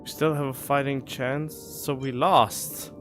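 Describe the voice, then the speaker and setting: A man doing casual live commentary on a game, reading dialogue aloud and puzzling over it as he thinks out loud. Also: mid-range voice, steady tone, takes it slowly, music in the background. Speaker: game streamer.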